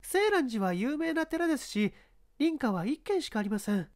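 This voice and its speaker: A woman's voice: sits high, sing-song, moderate pace, darkish tone, no echo, studio quality. A woman playing a composed young female witness, speaking politely and formally in a dramatized mystery reading. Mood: earnest, explaining. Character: composed young woman giving testimony to police.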